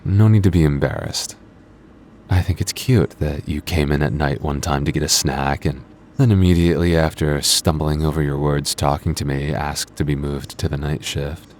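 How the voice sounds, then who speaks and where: A man acts out a cocky vampire coworker in an ASMR audio roleplay. His voice is extremely low, with a smug, flirtatious, dryly teasing delivery. Some background noise sits under the voice. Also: steady tone, normal speed, darkish tone. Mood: flirty, teasing. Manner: smug, flirty, dry teasing with sighs and chuckles. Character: cocky, teasing, moody male vampire convenience-store coworker. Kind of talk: intimate ASMR roleplay acting.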